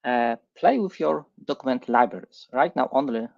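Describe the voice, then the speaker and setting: A middle-aged man with a Polish accent gives a live tech presentation, speaking haltingly and repeating words. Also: medium pitch, lively intonation, vocal fry, muffled recording. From Poland. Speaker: guest presenter. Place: online livestream session.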